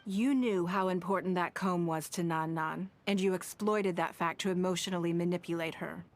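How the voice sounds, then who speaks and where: A woman playing a powerful, shrewd businesswoman in a video game, speaking in a measured, formal, reproachful way. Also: on the low side, fairly even intonation, natural voice. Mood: stern reproach. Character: poised, powerful businesswoman, sternly moral and shrewd.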